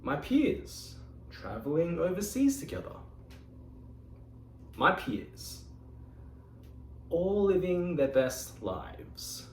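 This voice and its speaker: Man, lively delivery, speaking in an echoey meeting room a distance from the mic. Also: fairly high pitch, a little hiss or hum. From Australia. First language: Mandarin Chinese. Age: 20s. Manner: expressive storytelling with dramatic pauses. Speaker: club member giving a speech. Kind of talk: prepared speech to a club.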